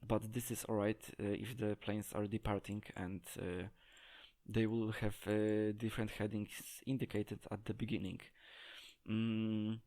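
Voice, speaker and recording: A man giving an unscripted gameplay tutorial, talking in a hesitant, halting way as he searches for words. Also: on the low side, level tone, darkish tone. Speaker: game tutorial presenter.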